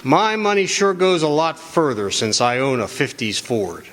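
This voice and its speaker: An actor playing a satisfied, thrifty car owner giving an earnest testimonial in a 1950s radio commercial. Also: male voice, on the high side, big pitch swings, moderate pace, a bit bright. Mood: earnest, pleased. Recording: echoey hall, live stage performance.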